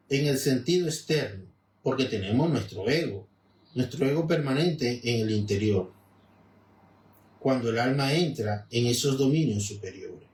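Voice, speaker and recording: A man reading a devotional text aloud and explaining it in a measured way with frequent restarts. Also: mid-range voice, a little mellow, distant mic. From Venezuela. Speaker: religious lecturer reading and commenting. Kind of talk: spoken reading with commentary. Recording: echoey room, off-mic with faint noise.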